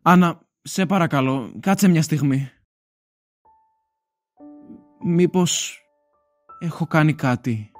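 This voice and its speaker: Guy acting as devoted boyfriend, earnest and tender, pleading a bit, audio drama w/ soft piano under it. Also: on the high side, dry recording, close to an everyday voice. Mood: tender, worried.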